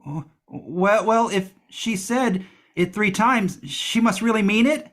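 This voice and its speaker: A man's voice: high-pitched, slow pace, a bit bright. A man playing a timid, naive, apologetic young cooking student with a nervous stammer in a comic audio play. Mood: nervous, confused.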